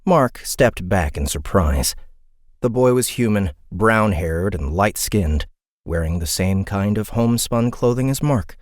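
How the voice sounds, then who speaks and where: A man narrating a fantasy audiobook in an expressive, dramatic storytelling style. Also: fairly low pitch, a little slow, no room sound, clean studio sound.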